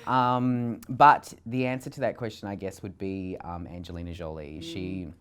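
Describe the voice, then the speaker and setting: A chatty man talking as a guest on a casual show. He tells his stories with lots of energy, often jumping his voice way up to act out quotes. His voice sits low. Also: moderate pace. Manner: chatty and animated, acts out quotes with big pitch jumps. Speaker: guest, red carpet reporter. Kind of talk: casual chat.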